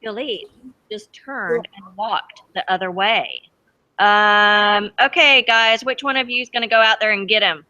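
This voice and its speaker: A woman reading a storybook aloud to children as a teacher, expressive and dramatic, stopping to ask them questions. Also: medium pitch, unhurried, lo-fi sound. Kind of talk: animated storybook read-aloud to a class. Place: school library with children.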